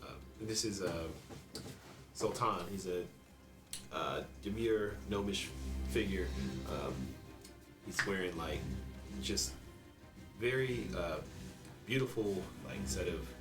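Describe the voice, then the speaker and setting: A man narrating as game master in a relaxed, hesitant way with many fillers. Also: sits low, slow, long pauses, distant mic. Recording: echoey room, mic from afar, music behind. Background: background music.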